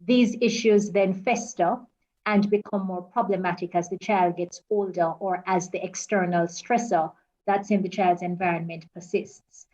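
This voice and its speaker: A woman with a Jamaican accent, speaking as a panelist in a formal, measured way. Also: on the low side, fairly even intonation, darkish tone, muffled recording. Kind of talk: panel discussion.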